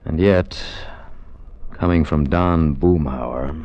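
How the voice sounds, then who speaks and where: An actor playing a wry, bantering freelance insurance investigator who also narrates, in a 1950s radio drama. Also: male voice, extremely low pitch, slow, exaggerated voice. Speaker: narrator and lead. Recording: dull old radio broadcast.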